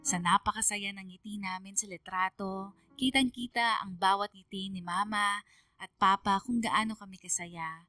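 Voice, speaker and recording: A woman's voice: medium pitch, steady tone, normal speed, pushed and bright, no echo, far from the mic, lightly acted. A woman with a Filipino accent playing a sentimental young daughter, narrating her heartfelt letter in a radio drama. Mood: sentimental, happy. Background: music.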